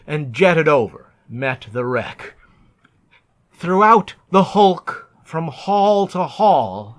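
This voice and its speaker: A man reciting narrative poetry in a deliberate, measured, theatrical way, as a poet reading his own work. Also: on the high side, fairly bright tone.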